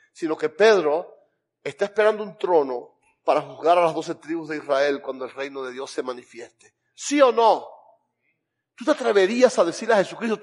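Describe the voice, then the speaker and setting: A man with a Cuban Spanish accent preaches with fervor, his voice pitched high for a man. He piles up rhetorical questions that climb in intensity as he urges his listeners to act. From Cuba. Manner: impassioned, rising rhetorical questions. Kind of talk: evangelistic sermon with altar call.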